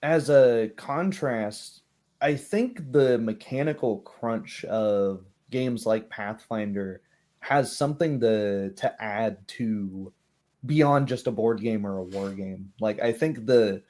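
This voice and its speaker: A man's voice: on the low side, slow, dark tone. A man chatting casually on a tabletop gaming stream, somewhat hesitant, with self-deprecating humour. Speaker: tabletop roleplaying player on a live stream. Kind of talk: casual chat.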